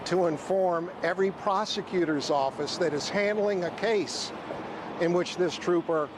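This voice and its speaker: A man's voice: high voice, measured pace, noisy background. A man giving formal interview comments as a watchdog official. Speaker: watchdog group president being interviewed.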